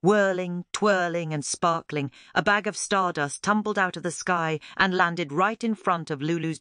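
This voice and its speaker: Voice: medium pitch, fairly bright tone, clear, resonant tone, dry recording, studio quality. A narrator reading a scripted children's story aloud as a storyteller.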